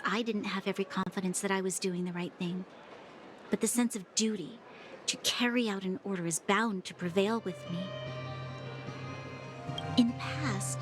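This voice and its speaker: A woman's voice: medium pitch, lightly acted. Woman acting a young woman soldier, dutiful, earnest, reflective, conflicted, game dialogue, music behind. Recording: music in the background.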